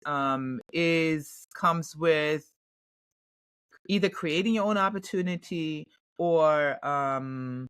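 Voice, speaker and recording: A woman's voice: sits low, fairly bright tone, dry recording. German-accented woman, dry close sound. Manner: chatty, many fillers and restarts. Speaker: actress, podcast guest. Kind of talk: casual interview answers.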